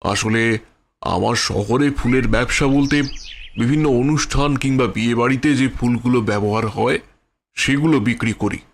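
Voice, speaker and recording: A man voicing a courteous, brisk city flower merchant in a cartoon, politely explaining himself. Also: mid-range voice, gravelly, a little hiss or hum, close to an everyday voice. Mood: businesslike. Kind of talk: cartoon fairy-tale voice acting.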